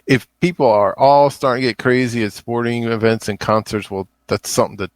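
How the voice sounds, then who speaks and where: A man's voice: mid-range voice, fairly even intonation, a little fast, dry recording. Male podcast co-host, dry close sound. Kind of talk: casual chat.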